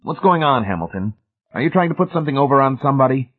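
A man voicing a gruff, suspicious, excitable blusterer with a hoarse edge in an audiobook. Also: mid-range voice, fast talker, narrow, tinny audio, voice pushed up. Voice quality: hoarse, croaky. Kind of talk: audiobook character voice.

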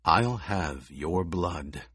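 A man reading an audiobook, voicing a young noble heir who speaks in a deadly quiet, controlled monotone. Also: low-pitched, very slow, slightly rough, dry recording, studio quality, exaggerated voice. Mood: cold. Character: young male noble heir, cold and commanding. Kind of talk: audiobook character voice.

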